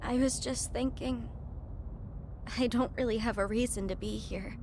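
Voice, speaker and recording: A woman's voice: fairly high pitch, fairly even intonation, moderate pace, noisy background, natural voice. An actress playing a hesitant, remorseful young woman in a dramatic video game scene. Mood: guilty, hesitant.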